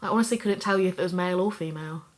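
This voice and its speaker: A woman's voice: lowish voice, racing, natural voice. An actress playing a young woman co-host, a bit shaken after an attack yet upbeat.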